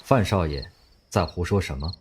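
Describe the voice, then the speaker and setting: Guy doing a blind bodyguard, low quiet monotone, zero emotion, radio drama. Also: moderate pace, a little hiss or hum, natural voice. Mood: cold. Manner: flat, clipped, emotionless. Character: blind young male guard, cold and emotionless. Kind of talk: audio drama acting.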